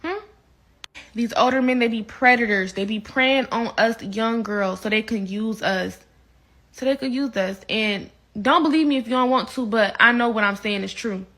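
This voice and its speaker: A young woman records an earnest rant for social media, lecturing her viewers. Her voice sits high and bright, and she speaks with conviction. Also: normal speed. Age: young adult.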